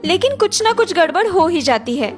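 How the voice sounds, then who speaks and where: High-pitched woman narrating a cartoon story. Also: very animated, a little fast, soft background music.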